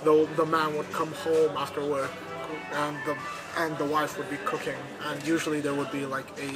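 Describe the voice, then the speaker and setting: A chatty, slightly high man from Bulgaria who laughs easily. He talks casually while loud music plays behind him. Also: flat delivery, quick pace. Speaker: guest. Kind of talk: casual chat.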